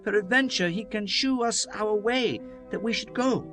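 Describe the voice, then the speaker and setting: A man's voice: high voice, normal speed, music in the background, lightly acted. A man playing an earnest, eager young servant in a dramatized scripture reading, speaking emphatic archaic English.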